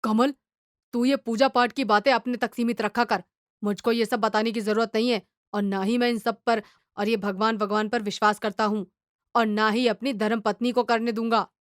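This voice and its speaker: A woman's voice: a little high, steady tone, moderate pace, dry recording, studio quality, lightly acted. A woman voicing a young village man in earnest dialogue for a moral story. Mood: irritated. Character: two young village men, friends, talking earnestly.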